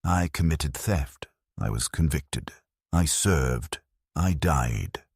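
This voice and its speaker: A man narrating a dark first-person sci-fi story as a bleak, resigned dead convict soldier, in a grave, measured, deliberate way. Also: very deep voice, lively intonation, vocal fry, husky, no echo, clean studio sound. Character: bleak, resigned ghost of a condemned legionnaire.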